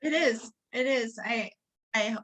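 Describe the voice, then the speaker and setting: A woman's voice: fairly high pitch, slow, a bit bright. A woman in her late twenties from Wisconsin, a guest sharing personal stories earnestly, often laughing and restarting sentences. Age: 20s. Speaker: guest entrepreneur. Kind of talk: personal storytelling in interview.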